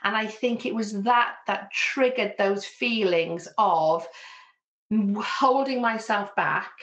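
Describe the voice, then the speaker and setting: A woman's voice: medium pitch, slow pace, a bit bright, dull audio. A woman with a Welsh accent, a business coach answering interview questions in an earnest, reflective way. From Wales. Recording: echoey room.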